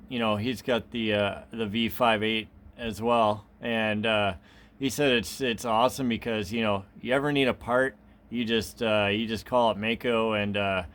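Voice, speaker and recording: A man's voice: lowish voice, fairly bright tone. A man chatting casually as a radio hobbyist, easygoing and rambling in his delivery. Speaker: amateur radio operator.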